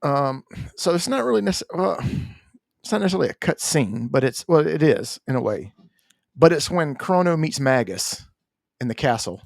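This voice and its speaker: A man's voice: a little high, sing-song, normal speed, creaky, dry recording, clean studio sound. A man co-hosting a gaming podcast, talking in an informal, conversational way. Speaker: podcast co-host. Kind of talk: casual gaming podcast chat.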